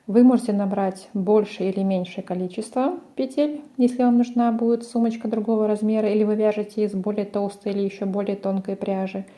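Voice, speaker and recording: A woman's voice: medium pitch, little pitch movement, fairly quick, few pauses, dark tone. A woman presenting a crochet tutorial, explaining each step in a methodical way. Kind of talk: step-by-step craft instruction.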